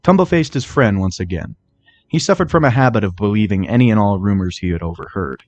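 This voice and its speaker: A man reading a fan fiction story aloud in expressive scripted narration. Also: fairly low pitch, fast.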